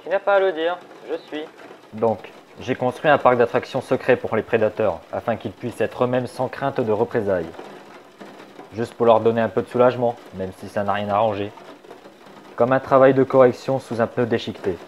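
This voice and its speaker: A man voicing a sly, wisecracking young male fox in a fan dub of a comic, wry and teasing, turning soft and confiding. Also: mid-range voice, moderate pace, slight background noise, lightly acted.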